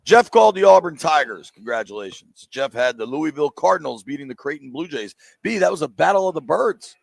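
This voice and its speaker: A man's voice: a little high, lively intonation, fairly quick, creaky, dry recording. A man hosting a live sports radio show, joking and teasing while running through bracket picks. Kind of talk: casual sports radio banter. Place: sports bar, live broadcast.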